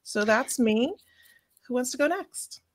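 A woman's voice: fairly high pitch, animated, measured pace, no echo. Cheerful woman on a video call. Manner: cheerful, laughing. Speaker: author and event organiser, panelist. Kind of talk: casual self-introduction. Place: home room.

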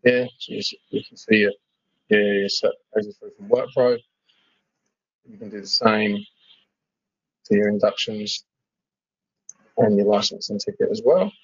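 Husky low-voiced man presenting a demo. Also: steady tone, a little slow, long pauses, no room sound, lo-fi sound. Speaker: webinar presenter. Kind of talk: informal software demo walkthrough. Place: screen-share webinar voice-over.

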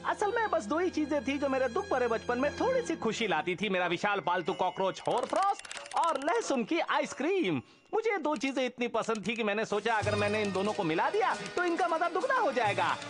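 Cartoon villain: grandiose mad scientist, high, swooping voice, boastful and long-winded, music underneath. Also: male voice, very animated, a little fast. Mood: boastful scheming. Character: melodramatic middle-aged male evil scientist, gleefully boastful. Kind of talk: animated cartoon dub.

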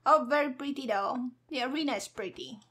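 A woman's voice: sits high, brisk, fairly bright tone, slightly rough. A woman streamer giving casual, playful gameplay commentary in a cheeky, hyper, giggly manner. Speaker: gaming streamer, virtual avatar host.

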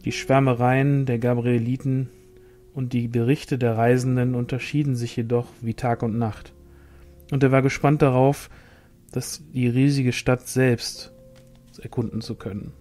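A man reading a fantasy novel aloud as scripted narration, in a measured storytelling manner. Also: mid-range voice, little pitch movement, darkish tone, soft background music. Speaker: audiobook reader.

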